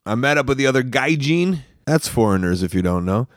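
A man's voice: medium pitch, lively intonation, fairly quick, no room sound, studio quality. A man hosting a travel podcast, chatting casually and teasingly on the go, rambling with restarts. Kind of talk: casual on-the-go chat. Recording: street and traffic noise behind.

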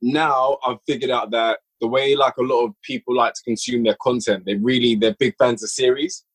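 Husky man chatting eagerly. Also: medium pitch, fairly even intonation, fairly quick, poor audio. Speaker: podcast guest, audio drama writer and director. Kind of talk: casual conversation.

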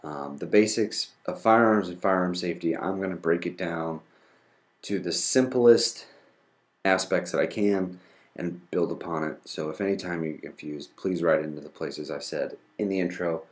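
A man's voice: deep voice, normal speed. A man hosting a podcast and explaining basics step by step, congested from a cold. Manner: methodical, defines terms step by step. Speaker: podcast host.

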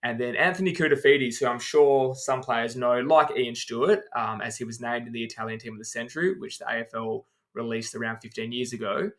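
A man co-hosting a relaxed podcast chat. He talks in long, meandering explanations peppered with ums as he rattles through a list of names. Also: medium pitch, brisk, few pauses. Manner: explains at length, runs through names with many "um"s. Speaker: podcast co-host.

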